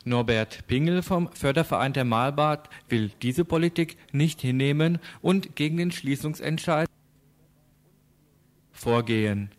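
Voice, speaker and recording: A man's voice: fairly high pitch, measured pace. A man reporting for radio news in scripted narration.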